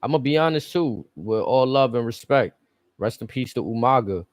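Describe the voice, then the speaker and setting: A man's voice: medium pitch, animated, normal speed, no room sound, clean studio sound. A man in casual podcast chat, blunt and profane, arguing his points. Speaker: podcast co-host.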